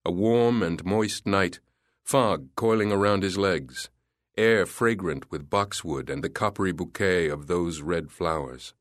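A man narrating a literary story in a measured, descriptive way. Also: deep voice, no room sound, studio quality. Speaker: audiobook narrator.

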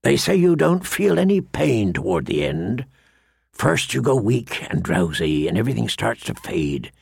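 A man's voice: medium pitch, big pitch swings, moderate pace, vocal fry, darkish tone, husky, no room sound, exaggerated voice. A man voicing a grizzled, grim old man-at-arms in an audiobook, with grave, rustic, plain speech. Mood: grim, hushed.

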